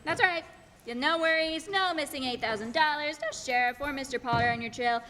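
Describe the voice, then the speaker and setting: A woman's voice: high voice, fairly quick, sharp tone. A teenage woman acting a whimsical, literal-minded guardian angel in a radio play. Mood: gentle, chirpy. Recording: noisy echoey hall stage recording.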